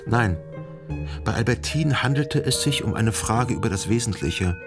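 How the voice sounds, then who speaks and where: Low-voiced brooding gentleman played by an actor, music behind. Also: animated, natural voice. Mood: anguished brooding. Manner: introspective, measured, formal phrasing. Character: brooding, jealous, grieving gentleman narrator obsessed with a dead lover. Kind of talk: literary radio drama monologue.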